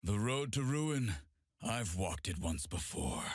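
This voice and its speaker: Game role: solemn masked swordsman, deep measured delivery, brooding, very dry close sound. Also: sing-song, breathy edge, dry recording, full character voice. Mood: grave, resolute. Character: solemn, brooding masked swordsman hunting demons between life and death. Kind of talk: scripted video game character voice lines.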